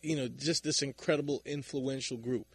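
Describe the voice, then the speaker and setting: A man's voice: mid-range voice, steady tone, moderate pace, creaky, fairly bright tone, husky, dry recording. A man chatting casually as a co-host on a podcast. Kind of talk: casual chat.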